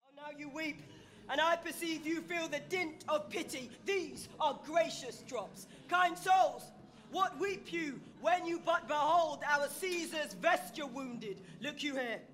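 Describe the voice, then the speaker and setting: A woman playing an impassioned, grieving Roman orator who slyly rouses a crowd, declaiming a Shakespearean stage speech with weighted rhetorical pauses. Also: high-pitched, a bit bright, slight background noise. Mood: grieving, ironic. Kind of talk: theatrical Shakespearean stage oration.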